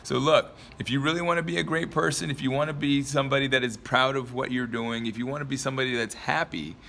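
Young male vlogger, a bit raspy from a dry throat, bright and forceful, loose rambling motivational talk. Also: mid-range voice, fairly even intonation, fast, a little hiss or hum. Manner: rambling, filler-heavy, laughs often. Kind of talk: casual motivational talk to camera.

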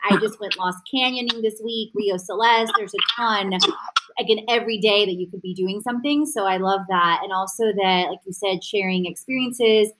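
A woman's voice: medium pitch, noisy background. A woman hosting a casual group conversation in an affirming, encouraging manner. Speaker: host.

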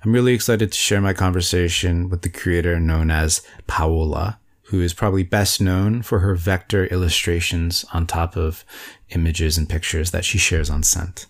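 A man's voice: sits low, fairly even intonation, moderate pace. A man hosting a podcast, introducing and interviewing a guest in an upbeat, friendly way. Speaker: podcast host.

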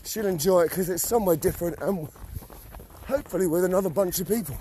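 A man's voice: high-pitched, fast, dark tone, slight background noise. A man hosting a casual podcast chat on a drive, teasing and laughing with friends. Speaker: podcast host.

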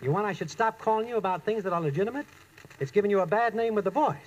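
A shifty, lazy boyfriend with an angle on everything in a vintage radio sitcom. His slangy, clipped wisecracks rise and dip widely in pitch. The old recording is clearly noisy. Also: lively intonation, rapid-fire, dull audio. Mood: sly. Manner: wisecracking, clipped slangy phrasing, calls his girl chicken. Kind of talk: 1940s-50s radio comedy acting.

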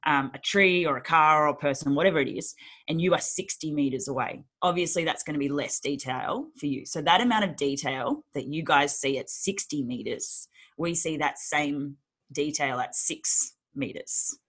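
A woman's voice: low voice, normal speed, a bit bright, slightly rough. A young Australian woman from Sydney, a guest in a casual interview, talking in an upbeat, chatty way with many fillers and frequent laughs. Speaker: guest, former teacher and Paralympian.

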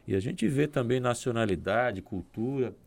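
A man talks through his points slowly and carefully as a guest on a discussion program, often restarting his sentences. His voice is gravelly with plenty of fry, sitting at an ordinary mid pitch. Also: a little mellow. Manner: measured, explanatory, with hesitant restarts.

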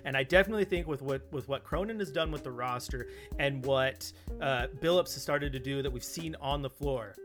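A man's voice: mid-range voice, brisk, hardly any pauses, music in the background. A man in a casual sports podcast discussion, emphatic and opinionated, repeating points for stress. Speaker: podcast co-host and basketball analyst.

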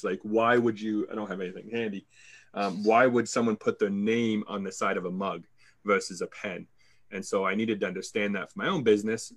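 Confident Canadian man, lowish voice. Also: fairly bright tone. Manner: confident, fluent storytelling with occasional restarts. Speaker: sales coach guest. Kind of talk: interview answers.

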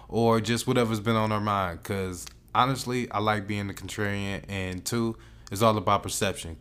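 A man's voice: on the low side, fairly bright tone. A man with an American accent co-hosting a podcast in casual, profane, slangy banter. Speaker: podcast co-host.

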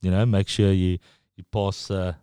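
A middle-aged South African man with an Afrikaans accent, a guest talking casually and haltingly in an interview, with many restarts. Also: low-pitched, little pitch movement, mellow, smooth, no room sound. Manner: halting, full of restarts and fillers.

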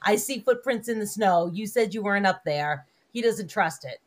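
A woman's voice: medium pitch, animated, moderate pace. A woman co-hosting a movie podcast, retelling scenes with quoted lines and many fillers. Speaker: podcast co-host. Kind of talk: casual movie review chat.

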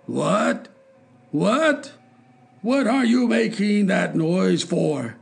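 A man voicing a cruel, blustering king who mocks and bullies, speaking louder and higher than his own reading voice. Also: animated, takes it slowly, gravelly, exaggerated voice. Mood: domineering. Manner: loud, mocking, bullying.

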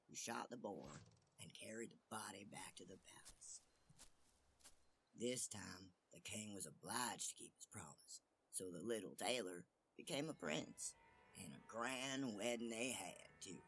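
A man narrating a fairy tale expressively, dramatic, often dropping to hushed phrase endings. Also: fairly low pitch, expressive, a little slow, a little hiss or hum. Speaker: storyteller.

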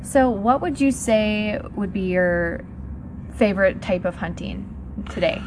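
A woman's voice: medium pitch, a little slow, background noise. A young woman hosting a podcast, asking casual interview questions and laughing often. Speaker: podcast host.

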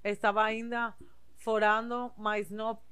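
A woman from southern Spain, a native Spanish speaker, talking casually in non-native Portuguese with a Spanish accent, slightly halting and mixing in Spanish words. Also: on the high side, flat delivery, a bit bright, clean tone. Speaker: interview guest, body piercer. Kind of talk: casual conversational storytelling.

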